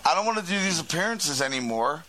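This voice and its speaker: A man's voice: a little high, expressive, fast talker, sharp tone, poor audio. A man with a growly voice hosting a rambling radio chat, blunt and foul-mouthed. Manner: profane, blunt. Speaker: radio show host and band frontman. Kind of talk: rambling casual group chat.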